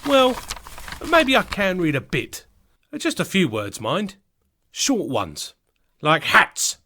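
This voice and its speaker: Comic radio-play sidekick: man as chummy, sly British lowborn fellow, very animated, slightly high register. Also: slow pace, exaggerated voice. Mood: sly teasing. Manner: chummy, colloquial, cheeky. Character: cheeky lowly male castle guest, chummy and sly. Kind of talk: comedy audio drama acting.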